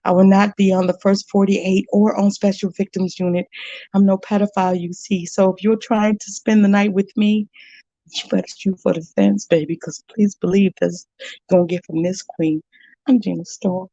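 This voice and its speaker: A woman's voice: medium pitch, flat delivery, normal speed, mellow, no echo. A middle-aged woman performing spoken word poetry with a bold, sassy, rhythmic delivery. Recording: dull, dry, lo-fi sound.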